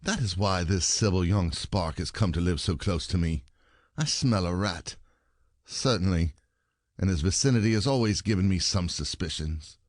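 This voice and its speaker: A man's voice: deep voice, expressive, moderate pace, no room sound, studio quality. A man playing a suspicious, bitter husband in a classic stage comedy, theatrical and probing. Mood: suspicious, aggrieved.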